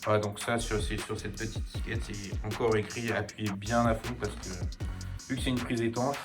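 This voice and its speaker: Low-voiced man explaining casually, music behind. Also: flat delivery, brisk. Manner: hesitant, repeats words while explaining. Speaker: tutorial co-host giving installation advice. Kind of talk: casual unscripted hands-on demonstration chat.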